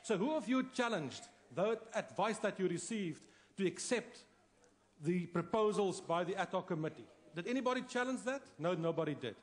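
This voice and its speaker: A man's voice: high-pitched, expressive, normal speed. A South African man, a long-serving member of parliament, giving a combative, emphatic debate speech at a podium microphone in a large chamber. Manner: combative, emphatic, repeats for force.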